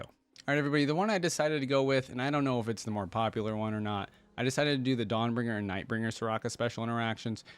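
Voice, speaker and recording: A man's voice: fairly low pitch, smooth, no room sound. A man giving casual reaction commentary as a host, rambling as he thinks aloud.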